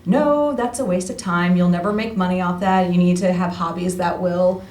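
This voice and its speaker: A woman's voice: fairly low pitch, moderate pace, darkish tone. A middle-aged American woman chatting casually and informally as an interview guest, often dropping "like" into her sentences. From United States. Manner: informal, peppers talk with "like". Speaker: interview guest, amateur actor. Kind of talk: casual chat. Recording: roomy, distant, faintly noisy.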